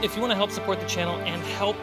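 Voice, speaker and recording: A game master hosting a tabletop fantasy show. The voice sits at a middling pitch, with music clearly playing behind it. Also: steady tone, racing. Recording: background music under voice. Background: background music.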